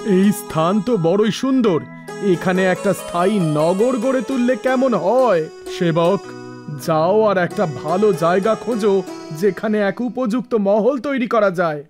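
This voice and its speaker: Arrogant, lustful demon king for mythology animation; high-set voice, commanding, measured declamation. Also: music in the background. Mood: pleased, commanding. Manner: slow, imperious, declamatory delivery. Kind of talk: animated mythology story acting.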